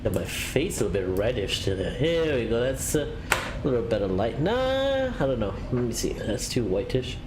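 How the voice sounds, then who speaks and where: Casual streamer: man, rambling, mid-pitched voice, faint background noise. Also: very animated, normal speed. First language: Italian. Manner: rambling, many fillers and restarts, reads out chat. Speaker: flight simulator streamer. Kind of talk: casual live-stream chat.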